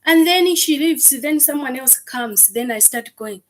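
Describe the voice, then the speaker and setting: A woman with an African accent chatting on a live stream, animated and acting out gossipy voices. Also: high voice, unhurried. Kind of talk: casual chat on a live stream.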